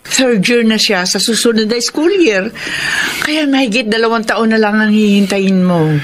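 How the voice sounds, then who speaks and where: A woman's voice: mid-range voice, normal speed, slight background noise, natural voice. An actress with a Filipino accent playing a patient older mother giving advice in a radio drama. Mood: soothing, advising. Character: older mother, patient, advising, eager for grandchildren.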